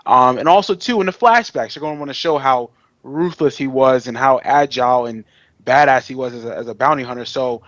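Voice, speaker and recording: A man's voice: mid-range voice, fairly quick, fairly bright tone, no room sound, dull audio. A man co-hosting a podcast in casual fan chat, with an informal, slangy and enthusiastic way of talking. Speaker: podcast co-host.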